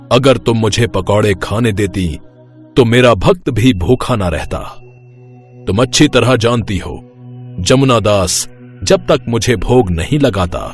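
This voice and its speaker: A man's voice: medium pitch, natural voice. A man voicing a solemn, displeased god who speaks with grave reproach in a devotional story.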